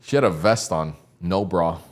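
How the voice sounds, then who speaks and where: A man's voice: deep voice, normal speed. Laid-back slangy man in his thirties. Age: 30s. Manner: laid-back storytelling, laughs often, heavy slang. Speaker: podcast co-host. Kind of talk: casual chat.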